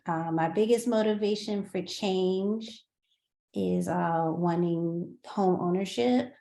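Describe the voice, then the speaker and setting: Slow-talking woman in an echoey room. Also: on the low side, mellow. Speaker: workshop participant. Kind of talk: sharing in an online group.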